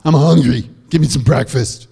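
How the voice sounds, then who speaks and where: A man's voice: medium pitch, normal speed, gravelly, dark tone, breathy edge, in a large hall. A man voicing a hungry young ghost boy with a whiny, pleading manner.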